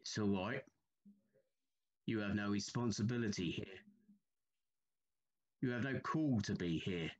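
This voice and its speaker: A haunted railway signalman from a Victorian audio drama. His voice is husky and rough-edged, and he speaks with grave, halting deference, like a humble working man carrying a secret trouble. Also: on the low side, lo-fi sound. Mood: grave, ominous. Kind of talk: audio play acting.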